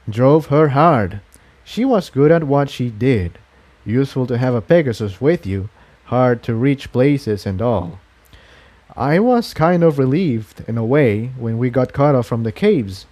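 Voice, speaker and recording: A man's voice: medium pitch, animated, measured pace, a little mellow, lightly acted. A man voicing a weary, earnest engineer pony in a storybook reading, talking in a reflective, confiding way. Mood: wistful, confiding.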